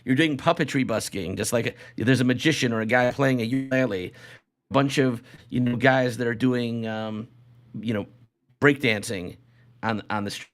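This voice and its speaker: A man hosting a casual, comedic podcast, talking in a halting way with repeated and restarted phrases. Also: mid-range voice. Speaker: show host.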